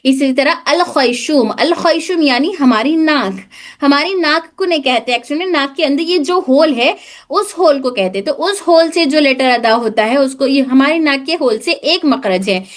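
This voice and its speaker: A woman's voice: sits high, animated, a little slow. A woman teacher explaining a recitation lesson live, drilling points by asking questions and repeating the answers, mixing in English words. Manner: mixes English words into Hindi speech.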